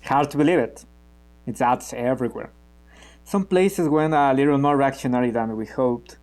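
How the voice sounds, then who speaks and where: Man playing a guarded, cynical revolutionary, mid-pitched. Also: moderate pace, a little mellow, faint music behind, close to an everyday voice. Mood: guarded, wry. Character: guarded, cynical male member of an armed revolutionary group. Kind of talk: naturalistic radio play acting.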